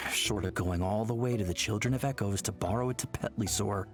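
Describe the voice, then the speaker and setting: A man's voice: lowish voice, a little fast, faint music behind, lightly acted. A man voicing an earnest, puzzled male worker in a video game, musing expressively.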